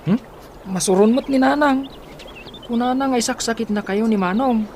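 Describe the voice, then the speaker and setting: A woman's voice: a little high, moderate pace, close to an everyday voice. Woman acting a young in-law, noisy recording. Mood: confiding. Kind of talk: Ilocano radio drama acting.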